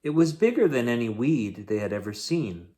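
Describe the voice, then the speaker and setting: A man reading a children's picture book aloud as a bedtime story host, in an expressive, dramatic way. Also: mid-range voice, moderate pace.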